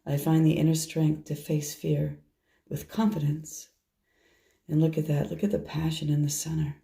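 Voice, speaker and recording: Hoarse, low-voiced woman reading tarot. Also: little pitch movement, moderate pace. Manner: soft, meandering, half to herself while handling cards. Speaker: tarot reader. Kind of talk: casual tarot reading monologue.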